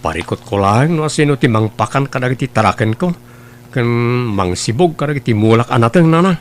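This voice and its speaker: Lalaki nga agak-akto kas nagaraw ti boses a barito. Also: male voice, medium pitch, lively intonation, a little fast, a little hiss or hum, lightly acted. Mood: pleased, mild. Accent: Ilocano. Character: grown son, dutiful farmer in love. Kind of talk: Ilocano radio-style drama acting.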